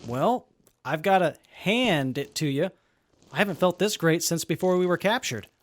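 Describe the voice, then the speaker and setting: A man's voice: fairly high pitch, animated, normal speed, dry recording, close to an everyday voice. A man playing a polite, upbeat young traveler who jokes through hardship, in a post-apocalyptic audio drama. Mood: joking.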